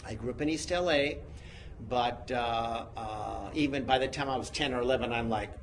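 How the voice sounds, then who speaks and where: A man in his sixties from the United States, a guest chatting in an interview who tells stories in an animated, self-mocking way. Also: mid-range voice, normal speed. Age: 60s. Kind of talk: casual interview chat. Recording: noisy room sound.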